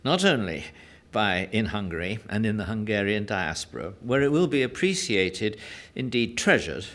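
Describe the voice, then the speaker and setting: A man giving a formal, courteous speech as a guest, self-deprecating and halting, with frequent hesitations. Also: on the low side, fairly bright tone. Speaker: guest speaker, journalist and political analyst. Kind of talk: formal speech at a book launch.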